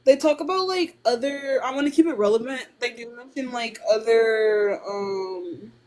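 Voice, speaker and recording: A young woman casually explaining Korean grammar on a live stream and slowly sounding out Korean phrases. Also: on the high side, darkish tone.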